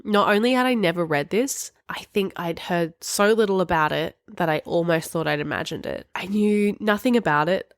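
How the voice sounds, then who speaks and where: A woman's voice: fairly low pitch, vocal fry, no room sound. Wry, emphatic woman podcaster, dry close sound. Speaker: podcast co-host. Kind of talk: casual book discussion chat.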